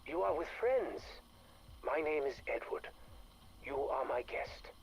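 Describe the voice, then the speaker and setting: An actor playing a calm male scientist who logs his work in a measured, clinical way and speaks gently to his creation. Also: on the high side, creaky, full character voice, rough recording.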